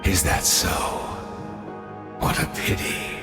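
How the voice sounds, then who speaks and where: A man's voice: slow pace, close to an everyday voice. An actor voicing a scheming, smug male villain in a video game, speaking with theatrical, polished menace. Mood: scheming calm. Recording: echoey reverb with game music.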